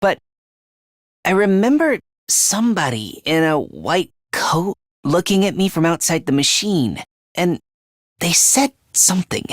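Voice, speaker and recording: A man's voice: fairly high pitch, dry recording, studio quality. A man voicing a timid, self-loathing teenage boy in an English video game, stammering, hesitant and over-apologetic.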